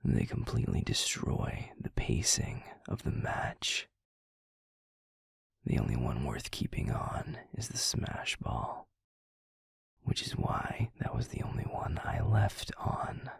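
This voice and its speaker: A man playing a grumpy, blunt but secretly affectionate werewolf boyfriend in an intimate audio roleplay, in a breathy, gravelly murmur with deadpan delivery. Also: very deep voice, slow, no room sound, whispered, studio quality, exaggerated voice. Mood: exasperated. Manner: deadpan, blunt, teasing grumbling. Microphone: close mic, dry.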